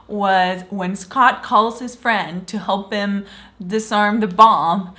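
A woman's voice: mid-range voice, steady tone, takes it slowly, fairly bright tone. A young woman from Switzerland giving a casual, enthusiastic review of a TV show, often acting out lines from it, in a small room. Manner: enthusiastic, playfully acts out quoted lines.

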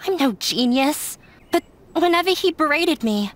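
A high, girlish voice of a sorrowful young daughter for an anime game. She sounds resigned and earnest, blaming herself, with faint music underneath. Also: expressive, a little slow. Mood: bitter, resigned. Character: dejected young daughter of a demanding scholar, self-blaming.

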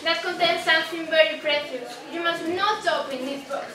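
A woman's voice: extremely high pitch, fairly even intonation, moderate pace, crowd noise. A young woman acting a gracious, grateful young princess in a scripted puppet show. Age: young adult. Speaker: student puppeteer. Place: indoor room at a day care centre. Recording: distant echoey room, noisy with clicks.

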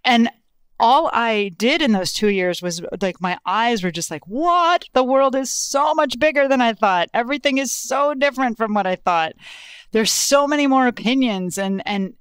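A woman, an author whose first language is Lithuanian, telling stories in a lively, casual way with frequent laughs. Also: fairly high pitch, normal speed, clear, resonant tone, dry recording. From Texas, USA. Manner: animated, laughs often, many fillers. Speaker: author and podcast guest. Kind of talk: casual conversational storytelling.

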